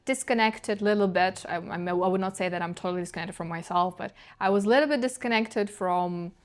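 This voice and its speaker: A young woman with a Ukrainian accent talks through her week in a casual, reflective vlog. She searches for words and restarts her phrases in a soft, dreamy way. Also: mid-range voice, fairly quick. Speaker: vlogger.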